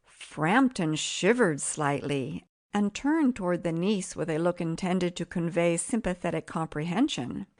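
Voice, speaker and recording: Female audiobook narrator: lowish pitch, measured, expressive reading of classic fiction. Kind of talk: scripted literary audiobook narration.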